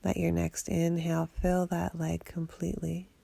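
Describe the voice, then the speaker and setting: A woman leads a guided relaxation in a low voice with very heavy creak. She gives gentle instructions without hurry, soothing and steady. The delivery stays very even in pitch. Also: moderate pace, no room sound. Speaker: meditation guide. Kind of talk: guided body scan meditation for sleep.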